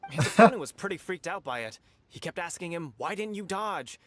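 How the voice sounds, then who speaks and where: A man voicing a dejected, earnest young martial arts fighter in a video game, talkative and grumbling in an animated way. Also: high-pitched, brisk. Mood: exasperated. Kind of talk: video game character dialogue.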